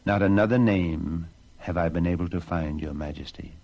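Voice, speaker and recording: A man's voice: sits low, moderate pace, a little mellow, dull audio. A man voicing a loyal messenger who reports back apologetically. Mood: reporting. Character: weary, loyal male messenger.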